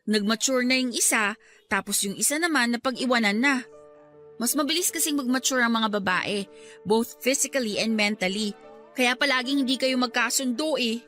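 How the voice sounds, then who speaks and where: A woman acting a frank, teasing younger sister, bright voice. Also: fairly high pitch, normal speed, faint music behind, close to an everyday voice. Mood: frank, reasoning. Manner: candid, chiding, playful. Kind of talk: radio drama dialogue in Filipino.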